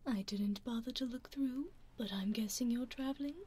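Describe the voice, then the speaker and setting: A woman with a British accent voicing a teasing aristocratic female vampire in an ASMR roleplay, whispering softly and slyly into a binaural mic. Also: a little high, moderate pace, breathy edge. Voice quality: soft whisper, sly and mocking.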